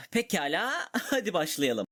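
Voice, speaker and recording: Male actor as mischievous, excitable animated clone; very animated pitch, childish pride, light music bed. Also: slow pace, heavily acted. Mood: coaxing. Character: playful, childish male clone, pleased with silly schemes. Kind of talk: animated comedy dub in Turkish.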